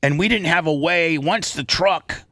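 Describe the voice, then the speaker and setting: Bright, forceful man telling a story. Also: a little high, a little slow, dry recording, clean studio sound. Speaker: talk show host. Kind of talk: casual storytelling on a talk show.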